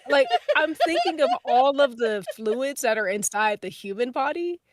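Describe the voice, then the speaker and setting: A woman's voice: sits high, very animated, moderate pace, dry recording. A woman on a podcast panel musing aloud in casual group chat, repeating her phrases.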